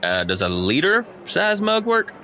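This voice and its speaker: A man's voice: high-pitched, huge pitch swings, measured pace, pushed and bright, a little hiss or hum, pitched above the performer's own voice, heavily acted. A man playing a chatty, obliging tavern keeper in a tabletop game, folksy and rambling. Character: chatty, obliging male tavern keeper sharing local legend.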